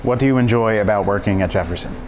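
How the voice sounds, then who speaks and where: A man's voice: fairly low pitch, fast talker, a little mellow, slight background noise, rough recording. A man asking short, plain interview questions from off-mic.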